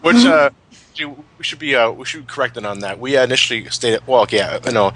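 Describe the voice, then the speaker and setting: A man's voice: mid-range voice, animated, a little fast, a bit bright, a little hiss or hum, poor audio. A man in a casual fan discussion, ranting emphatically and swearing freely. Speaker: commentary show host.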